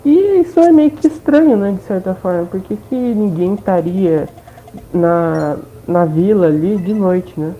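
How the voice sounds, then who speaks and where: A woman's voice: mid-range voice, lively intonation, measured pace, slight background noise. Brazilian woman, dull lo-fi gaming recap. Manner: informal, checks in with listeners often. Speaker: gaming video host. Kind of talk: casual let's play voice-over recap. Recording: dull lo-fi voice-over.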